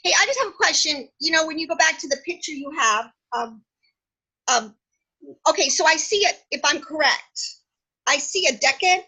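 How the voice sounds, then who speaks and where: Woman asking a question on a call, high voice, bright tone, polite, hesitant. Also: lively intonation, normal speed, poor audio. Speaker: audience member asking a question.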